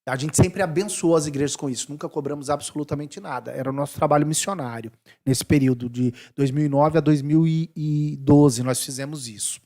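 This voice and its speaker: A man from Brazil in his forties, a missionary talking casually in an interview, animated and thinking aloud with restarts. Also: a little high, a little fast, hardly any pauses. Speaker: missionary guest.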